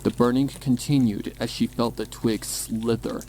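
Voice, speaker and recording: A man reading a dark horror story as a dramatic scripted narration. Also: mid-range voice, fairly even intonation, normal speed, a little hiss or hum, rough recording. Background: music.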